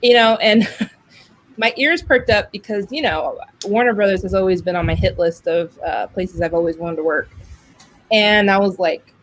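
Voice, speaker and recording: Female podcast host, medium pitch, casual and chatty, storyteller who voices the quotes. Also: moderate pace, slight background noise. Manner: talkative, animated storytelling with acted-out quotes.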